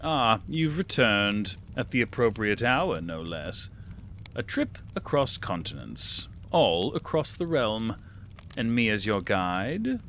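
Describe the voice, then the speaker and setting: A man's voice: fairly low pitch, sing-song, slow, a bit bright, slight background noise, full character voice. A man voicing a sly, theatrical cat who guides listeners through grim tales, savoring his words with condescension. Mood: smug, teasing. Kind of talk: scripted audio fiction narration.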